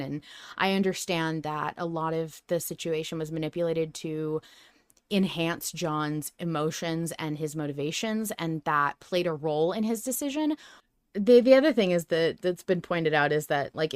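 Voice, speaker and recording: A woman hosts a casual fan podcast in a chatty, earnest manner. Her voice sits a little low and is smooth and clear. The recording is very dry, with no room to it. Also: dry recording. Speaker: podcast host.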